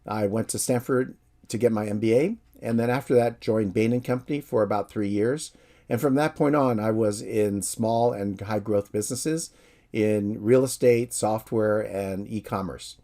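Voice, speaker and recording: Calm American professor, low mellow voice. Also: male voice. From San Francisco, USA. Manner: calm, friendly, measured explanation. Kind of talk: recorded course introduction.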